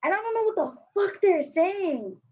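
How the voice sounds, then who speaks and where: A woman's voice: very high-pitched, big pitch swings, moderate pace, mellow. A woman playing a blunt, slangy, defensive fourteen-year-old girl skateboarder in a staged play reading. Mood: agitated, pleading. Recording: dull lo-fi recording.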